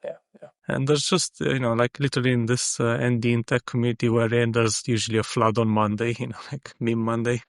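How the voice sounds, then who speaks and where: A man from Romania, a freelance software engineer, talking as a guest in a casual interview, thoughtful and full of "you know" fillers. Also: mid-range voice, level tone, fairly quick, vocal fry, hardly any pauses, dry recording, clean studio sound.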